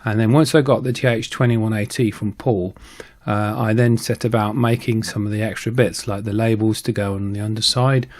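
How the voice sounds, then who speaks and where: A man narrating a casual how-to video as a hobbyist maker, in a conversational, halting way with frequent ums and pauses. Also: fairly low pitch, fairly even intonation, darkish tone. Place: voice-over recording.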